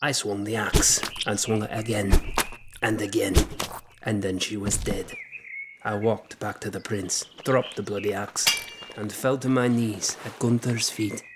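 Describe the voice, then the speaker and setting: Actor as a low-voiced, grim storytelling warrior. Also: male voice, fairly even intonation, measured pace, background noise, lightly acted. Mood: cold, bitter. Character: grim grown man recounting his violent, grieving boyhood. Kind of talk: first-person dramatic storytelling in an audio drama.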